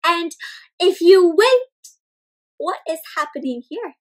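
A young woman reading a picture book aloud to young children in an animated, expressive way, often putting questions to the listeners. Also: extremely high pitch, slow, faint music behind. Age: young adult. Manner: animated, questioning, addressing young viewers as friends; exaggerated expressive delivery with gasps and stretched words. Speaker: children's read-aloud storyteller. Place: indoor room with houseplants.

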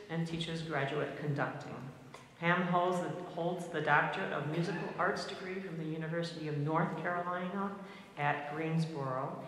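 A middle-aged woman with a loud voice speaks into a microphone on a concert stage. Her tone is low for a woman, and she talks in a chatty way, with many ums. The large hall rings around her, with a faint hiss underneath. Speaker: band conductor. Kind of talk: introducing a guest conductor. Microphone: mic on a stand.

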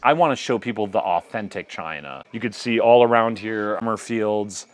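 A man's voice: on the low side, moderate pace. An American man in his thirties talking casually in a sit-down interview as a hiking guide. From United States. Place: open wooden balcony among green hills. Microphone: clip-on lapel mic. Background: music.

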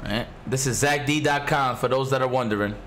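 A man in his thirties with a raspy voice, hosting a stream and commenting excitedly over old fighting game footage. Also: mid-range voice, a little fast, slight background noise. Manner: excited, swears a lot, repeats himself. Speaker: stream host.

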